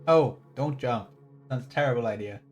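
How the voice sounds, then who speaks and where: A man's voice: mid-range voice, normal speed, a little mellow, soft background music. Rambling, wry male game streamer. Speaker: livestreamer playing a game. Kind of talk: casual game commentary.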